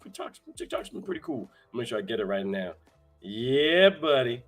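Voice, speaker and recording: A young adult man hosting a live streamed show, hyped and energetic, his voice hoarse from talking so much. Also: medium pitch, normal speed, soft background music. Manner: energetic, shouting out viewers in chat. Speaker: host.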